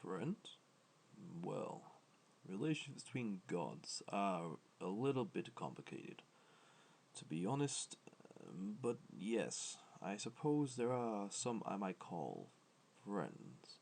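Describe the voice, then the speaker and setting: A man voicing a gentle, ancient god who speaks softly, tenderly and with measured hesitation, in an ASMR comfort roleplay. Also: sing-song, a little hiss or hum, exaggerated voice. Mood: wistful, candid. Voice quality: low, soft, creaky, breathy at times.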